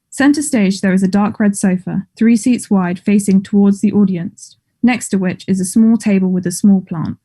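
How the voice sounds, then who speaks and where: A woman's voice: mid-range voice, mellow. A woman reading stage directions as scripted narration at a table read of a play.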